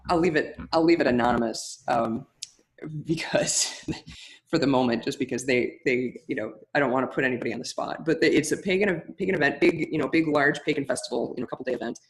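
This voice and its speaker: Chatty woman with a big, loud voice. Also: extremely low pitch. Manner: chatty, many restarts and fillers. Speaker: ritual facilitation teacher. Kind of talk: webinar teaching, answering a question.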